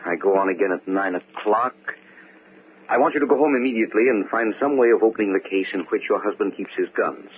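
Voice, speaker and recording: An actor playing a serious, decisive nightclub mentalist with a probing, authoritative manner in a 1940s radio mystery. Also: male voice, fairly low pitch, fast talker, close to an everyday voice. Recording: faintly noisy, narrow old radio broadcast.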